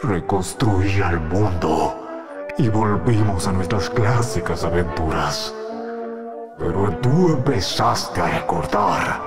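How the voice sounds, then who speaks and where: A man with a Latin American accent voicing a menacing, weary, obsessive monster dog in a cartoon fan dub, brooding and deliberate. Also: deep voice, lively intonation. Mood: brooding, sorrowful. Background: music.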